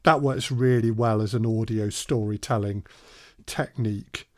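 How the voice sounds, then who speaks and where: A man presenting an informal workshop as an audio drama producer, explaining things in a halting way with frequent pauses. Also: on the low side, steady tone, no room sound, studio quality.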